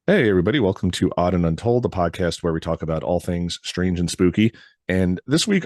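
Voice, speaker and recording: A man from New York hosting a podcast in a casual, rambling monologue, his deep voice hoarse and froggy from a recent illness. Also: a little mellow, no room sound, studio quality. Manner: rambling, loose, with frequent "uh" fillers. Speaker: podcast host.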